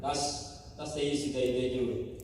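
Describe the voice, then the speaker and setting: A man's voice: medium pitch, flat delivery, darkish tone, slight background noise. Guatemalan man in a distant echoey hall. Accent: Guatemalan Spanish. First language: Spanish. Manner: halting, many fillers and restarts. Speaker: mission team member giving a church report. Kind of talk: informal church testimony. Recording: echoey church hall from far off.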